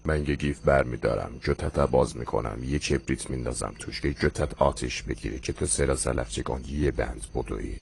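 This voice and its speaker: A man doing a gravelly grumbling troublemaker. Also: extremely low pitch, hardly any pauses, a little hiss or hum. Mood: threatening. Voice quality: gravelly and husky. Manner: blunt, threatening, mangled words. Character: gruff grumbling male troublemaker, broken Turkish-laced speech. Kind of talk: comedic dubbing.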